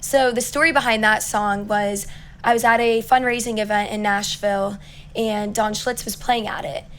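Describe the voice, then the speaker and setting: A young woman with a raspy voice, a singer-songwriter chatting upbeat as an interview guest, full of likes and ums. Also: medium pitch, steady tone, moderate pace, fairly bright tone, slight background noise. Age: young adult. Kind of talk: casual interview chat.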